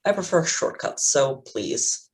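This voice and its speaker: A man's voice: fairly high pitch, sing-song, slow pace. A man playing a smug, threatening supervillain crime boss in a staged play reading, with a taunting manner. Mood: coldly threatening.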